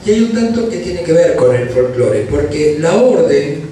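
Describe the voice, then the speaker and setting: A man's voice: fairly high pitch, sing-song, moderate pace, dark tone, recorded from a distance, slight background noise. Argentine man telling a story on a panel. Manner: storytelling, anecdotal. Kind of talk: panel discussion. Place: university hall, panel table. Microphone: handheld mic, far camera. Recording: roomy hall from afar.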